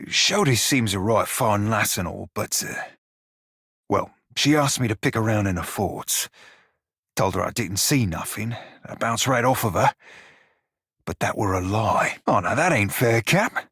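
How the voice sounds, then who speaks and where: A man playing a roguish, good-hearted creature in a video game, talking in salty dialect with a rough, husky voice. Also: lowish voice, lively intonation, normal speed, a bit bright, dry recording, studio quality, exaggerated voice. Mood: casual, defensive. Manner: salty pirate dialect, colloquial. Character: roguish blue-furred psychic pirate, flirty, salty, good-hearted. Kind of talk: video game character dialogue.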